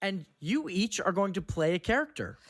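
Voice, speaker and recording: A man's voice: sits high, very animated, moderate pace, fairly bright tone. A man hosting a podcast, moving between casual chat and scripted scene narration.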